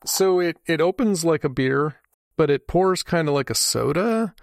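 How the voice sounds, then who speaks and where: Man, podcast co-host, a bit high, dry close sound, rambling with laughs. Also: animated, normal speed, creaky, no room sound. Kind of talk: casual chat.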